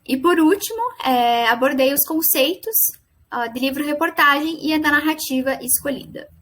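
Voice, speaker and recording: Female student presenter, young, Brazilian accent, high register, explanatory delivery with self-corrections. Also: normal speed, a bit bright. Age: young adult. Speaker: student presenting a final project. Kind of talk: academic presentation.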